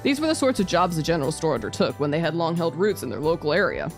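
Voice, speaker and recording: A woman's voice: sits low, sing-song, fast talker, soft background music. A woman streamer reading visual novel narration aloud, with casual asides. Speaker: VTuber streamer.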